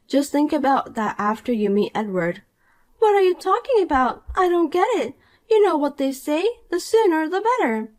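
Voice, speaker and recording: A woman's voice: extremely high pitch, pitched above the performer's own voice. A woman voicing a shallow, selfish, jealous young woman in a dramatized story, accusing and self-pitying.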